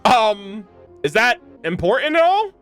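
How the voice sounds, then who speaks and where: A young man doing casual, slangy gaming reaction commentary in an expressive way. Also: high voice, unhurried, clean tone. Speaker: gaming streamer. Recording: game music behind the voice.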